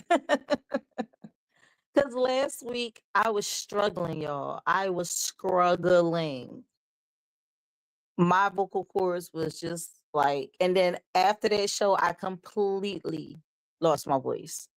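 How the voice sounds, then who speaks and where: A woman hosts her live show in a chatty, upbeat way, speaking slowly and directly to her viewers. Her voice sits a little low and still carries a slight hoarseness. The sound is dry and close. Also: animated, dry recording, low-quality recording. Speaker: host. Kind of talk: casual live show chat.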